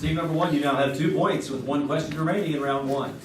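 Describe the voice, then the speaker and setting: A man's voice: fairly high pitch, steady tone, quick pace, heavy background noise. Formal male quiz announcer, echoey. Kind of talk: formal scripted game show announcing. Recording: echoey room, far from the mic.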